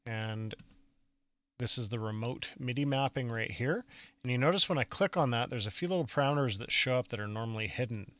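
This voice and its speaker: A man demonstrating music software in a casual step-by-step tutorial, explaining as he goes and checking in with the viewer. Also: medium pitch, brisk, dry recording.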